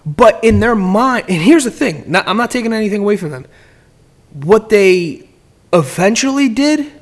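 A man's voice: high-pitched, animated, moderate pace. A man in casual podcast chat, giving business advice in a rambling way, often repeating words and restarting sentences.

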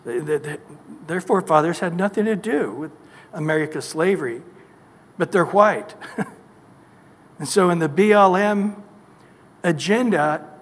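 Male pastor, high voice, emphatic sermon delivery with rhetorical questions, long church reverb. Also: unhurried, long pauses.

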